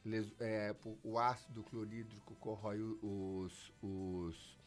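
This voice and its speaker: A man's voice: fairly low pitch, slow, faint music behind. A man, a physician guest explaining medical matters in an interview, emphatic and acting out patients' words. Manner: lively, emphatic, acts out patients' lines.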